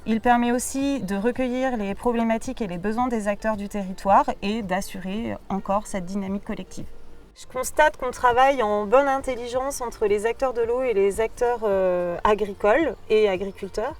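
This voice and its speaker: A woman giving a prepared, measured testimonial as a programme coordinator. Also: fairly high pitch, darkish tone, distant mic. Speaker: local water and agriculture programme coordinator.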